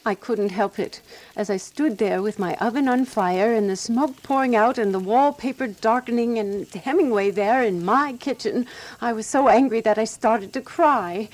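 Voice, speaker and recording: A woman playing a fussy, resolute amateur sleuth who narrates her own mystery in a radio drama, prim and measured. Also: a little high, a little mellow, close to an everyday voice, low-quality recording.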